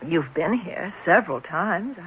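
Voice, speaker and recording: A performer voicing a brisk, businesslike woman who runs a children's home, in a 1970s radio drama. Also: high-pitched, animated. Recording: muffled, faintly noisy old radio recording.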